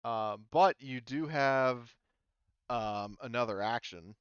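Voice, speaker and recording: A man's voice: mid-range voice, takes it slowly, smooth, no echo, lo-fi sound. A man running a tabletop roleplaying game as game master, casually explaining rules.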